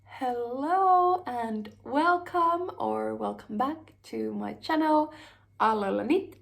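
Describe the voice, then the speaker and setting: A woman's voice: on the high side, takes it slowly, gravelly. A young woman from Finland hosting a knitting podcast, chatting casually with fillers and small laughs while her voice recovers from an illness. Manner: relaxed, rambling, many fillers and small laughs. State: voice still recovering from a cold. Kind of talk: casual chat to camera.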